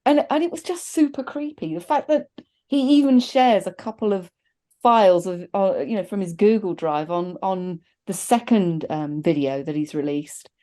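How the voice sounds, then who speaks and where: Mellow-voiced middle-aged woman, enthusiastic. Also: fairly low pitch, normal speed. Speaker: film review panelist. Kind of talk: casual review chat. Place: indoors on a video call.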